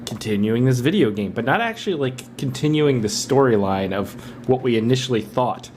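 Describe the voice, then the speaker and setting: A man's voice: medium pitch, animated, fairly quick, a little mellow, a little hiss or hum. A man co-hosting a podcast, casually discussing film in a rambling way, often searching for words. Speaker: podcast co-host.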